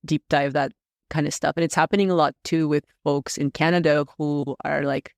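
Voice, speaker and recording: Gravelly man, rambling podcast guest. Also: on the high side, no room sound, studio quality. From Russia. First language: Russian. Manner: rambling, many fillers and restarts. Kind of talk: casual interview chat.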